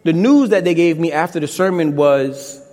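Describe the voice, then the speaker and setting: A man's voice: fairly high pitch, normal speed, darkish tone. A young man from Brooklyn with a Brooklyn accent preaching a sermon on a campus, with impassioned rhetorical questions and a little casual slang. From Brooklyn, New York. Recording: long reverb in a large hall.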